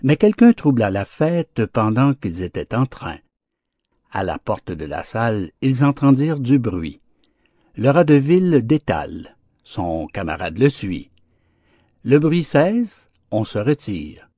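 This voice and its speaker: A man narrating verse fables as a storybook audiobook, in a measured, theatrical recitation. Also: medium pitch, sing-song, dark tone, no room sound, studio quality.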